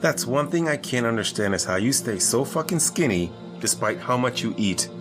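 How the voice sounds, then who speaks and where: A man acting as an irritable male agent and jilted ex, speaking audio drama dialogue in a dry, exasperated way. His voice has an ordinary middle pitch, and music runs clearly underneath. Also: animated, moderate pace, natural voice.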